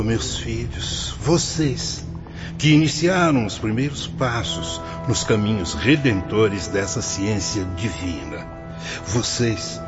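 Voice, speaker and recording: A man plays a solemn, kindly director of an otherworldly institute in a Brazilian radio drama. His oratory is fervent and paternal, rising and falling widely. Prominent music plays under the slightly muffled recording. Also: medium pitch. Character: solemn benevolent male spirit director of an afterlife institute. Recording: dull lo-fi radio drama, loud music behind.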